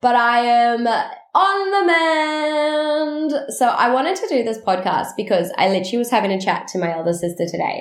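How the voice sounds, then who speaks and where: A congested woman with a nasal voice talks through a casual solo podcast. Her delivery is chatty and rambling, full of quick asides. Her voice sits mid-range and echoes around the room. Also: mid-range voice. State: nasally congested while recovering from illness. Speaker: podcast host.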